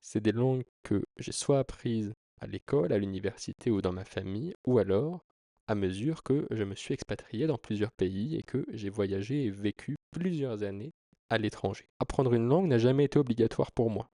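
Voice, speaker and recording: A man's voice: fairly low pitch, moderate pace, mellow, no echo, studio quality. A man speaking as a video creator, explaining informally to viewers in an earnest, persuasive way with emphatic phrasing. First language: French. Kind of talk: informal explanatory talk to camera.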